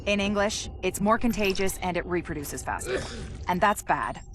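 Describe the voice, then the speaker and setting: An actress playing a virologist who briefs with brisk, dry, sardonic remarks. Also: female voice, mid-range voice, lively intonation, lightly acted. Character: dry-witted female virologist briefing an agent. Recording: game cutscene with music behind.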